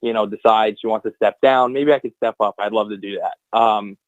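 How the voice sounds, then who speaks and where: A man's voice: on the low side, fairly even intonation, a little fast, no room sound. Rambling young man on a muffled line. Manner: rambling, many fillers and restarts. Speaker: guest, hockey anthem singer. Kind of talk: casual podcast chat.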